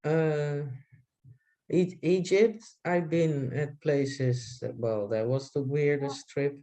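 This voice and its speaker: A woman with a Dutch accent, a guest chatting on a video call, speaking haltingly with many restarts and fillers. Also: low voice, fairly even intonation, warm tone. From Netherlands. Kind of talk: casual video call chat.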